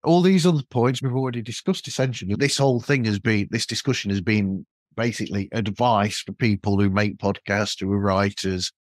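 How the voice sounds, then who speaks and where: A man's voice: lowish voice, brisk, hardly any pauses, no echo, studio quality. A man hosting a podcast, talking casually as he interviews a guest. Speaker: podcast host.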